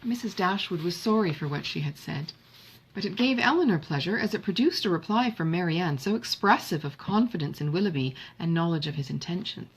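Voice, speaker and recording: A woman reading a classic novel as an audiobook narrator, in a measured, expressive literary manner. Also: on the low side, slightly rough, poor audio.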